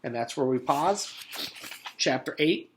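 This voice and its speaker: A man in his forties reads a children's story aloud at a medium pitch. His phrasing is expressive and deliberate, like a teacher reading to a class. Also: a little hiss or hum, poor audio. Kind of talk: children's book read-aloud. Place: small room at home.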